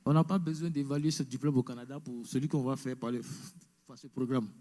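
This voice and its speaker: A man's voice: medium pitch, steady tone, fairly quick, mellow. A man giving an information session, explaining step by step and mixing English words into French. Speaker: immigration presenter. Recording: echoey hall.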